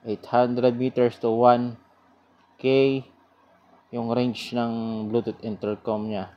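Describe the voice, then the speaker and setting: A man giving a casual product review in an informal, sales-pitch manner. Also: flat delivery, slow, highs cut off.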